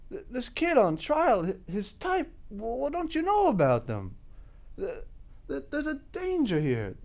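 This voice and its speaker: A woman's voice: a little high, big pitch swings, moderate pace, darkish tone. A woman performing a dramatic monologue as an angry bigoted juror, agitated and emphatic as she argues with the others. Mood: desperate, insistent. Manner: agitated, emphatic, stammering pleas.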